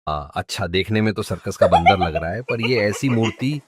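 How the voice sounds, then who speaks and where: A man's voice: lowish voice, lively intonation, normal speed, crowd noise, lightly acted. A man playing a sarcastic travelling merchant in a cartoon story, haggling with mocking, exaggerated comic delivery. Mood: teasing flattery. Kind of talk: Hindi cartoon story dubbing.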